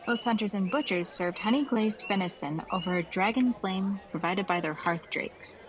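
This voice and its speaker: A woman's voice: mid-range voice, low-quality recording. A woman narrating a scripted fantasy story as the storyteller of an audio drama.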